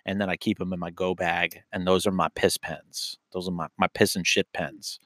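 A man's voice: low voice, little pitch movement, normal speed, fairly bright tone, no room sound. A man, a police officer hosting a podcast, rambling and correcting himself, swearing and laughing. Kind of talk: casual profane chat.

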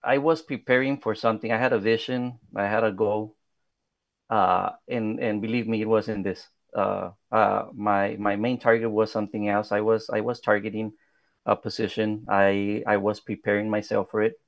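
Hesitant Spanish-accented man, gravelly. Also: lowish voice, little pitch movement, normal speed, no room sound. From South Texas. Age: 30s. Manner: hesitant, many fillers and restarts; modest, soft-spoken. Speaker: political candidate. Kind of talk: podcast interview.

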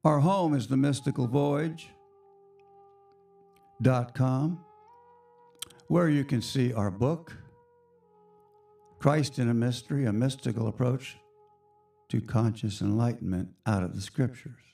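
A hoarse man hosting a spiritual radio show, talking in a slow, reflective, chatty way to his listeners. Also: medium pitch, dark tone, soft background music. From United States.

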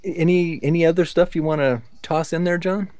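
A man's voice: a little high, fairly even intonation, fairly quick, darkish tone, dry recording, clean studio sound. A man hosting a podcast, chatting casually in a wry, musing way. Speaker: podcast host. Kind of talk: casual conversational discussion.

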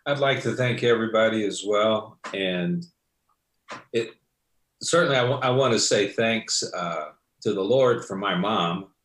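Low-voiced man sharing with many uhs. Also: measured pace, fairly bright tone, reverberant. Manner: hesitant, frequent uh fillers. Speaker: church member sharing. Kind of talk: personal testimony.

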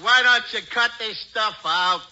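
An actor playing a sarcastic, fed-up sidekick in a 1940s radio sitcom. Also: male voice, high voice, unhurried. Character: sarcastic, fed-up sidekick humoring his silly friend. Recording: muffled, very noisy old radio recording.

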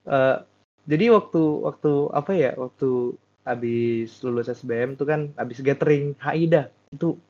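A young man from Sumatra, a student, telling a story in casual chat, animated and hesitant with many repeated words. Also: medium pitch, moderate pace. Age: young adult. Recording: dull lo-fi sound.

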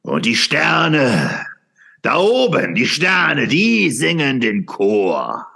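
A man playing a frenzied, ecstatic philosopher in a radio play, with a rough voice and halting, theatrical delivery. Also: mid-range voice, huge pitch swings, bright, forceful tone, full character voice. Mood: exalted, feverish. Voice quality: gravelly, rough. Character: frenzied, ecstatic male philosopher craving music and intoxication. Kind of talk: surreal radio play acting.

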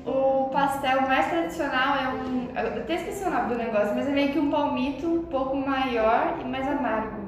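High-voiced São Paulo woman, echoey. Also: normal speed, hardly any pauses. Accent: São Paulo Brazilian Portuguese. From São Paulo, Brazil. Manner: animated, slangy, laughs often. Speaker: podcast co-host. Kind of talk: casual chat. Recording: very echoey room, distant mic, music underneath.

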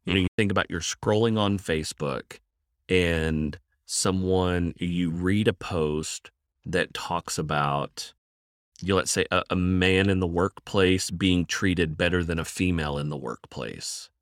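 A middle-aged man, a counselor and evangelist, answering in a podcast interview in a measured, thoughtful way and repeating words as he thinks. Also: deep voice, dry recording, studio quality.

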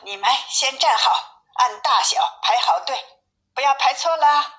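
女人扮演的爱发号施令的熊妈妈，语速缓慢. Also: female voice, high voice, a little slow, pushed and bright, pitched above the performer's own voice. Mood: commanding. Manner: instructing, calling out commands. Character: caring, bossy mother bear giving orders to cubs. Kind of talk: children's storybook reading.

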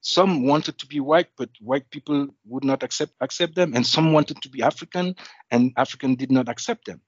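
Thoughtful male interview guest, reflective and halting, muffled dry recording. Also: medium pitch, slightly rough, no room sound. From Belgium. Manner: thoughtful, with frequent restarts and asides. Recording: dull, slightly muffled audio.

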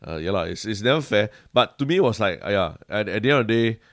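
Male podcast co-host, Singaporean accent, low voice, dry close sound, colloquial and conversational. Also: lively intonation, fast talker, no room sound. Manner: colloquial, peppered with Singlish particles. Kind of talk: casual conversational political chat.

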